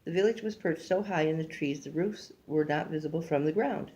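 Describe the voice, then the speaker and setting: An older woman reads a picture book aloud like a grandmother, haltingly, stumbling over words and adding casual asides. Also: low-pitched, warm tone, muffled recording. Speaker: grandmother reading a storybook aloud.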